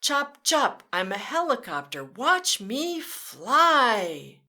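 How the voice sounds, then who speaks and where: Slow, sing-song woman reading to kids. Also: on the high side, sing-song, pushed and bright. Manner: slow, sing-song, playful sound effects; talks directly to children. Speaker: children's storyteller. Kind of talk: read-aloud storytime.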